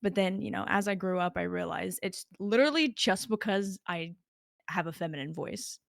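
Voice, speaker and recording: A woman's voice: fairly low pitch, normal speed, gravelly, no echo, clean studio sound. A young woman as a podcast guest, talking in a chatty way with lots of filler words. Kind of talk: casual podcast conversation.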